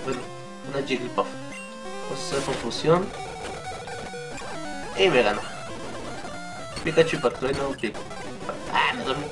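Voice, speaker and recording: A man's voice: mid-range voice, unhurried, pauses often, poor audio. A man with a Mexican accent, slightly sick, giving casual, rambling, hesitant gameplay commentary with some mild swearing. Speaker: let's play gamer. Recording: game music behind the voice. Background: video game music.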